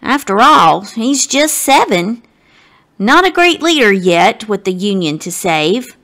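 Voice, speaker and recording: Female guest reader for children's storybook, unhurried, very lively intonation, speaks straight to young listeners. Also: mid-range voice. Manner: deliberate phrasing, addressing young listeners directly. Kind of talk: expressive children's storybook narration.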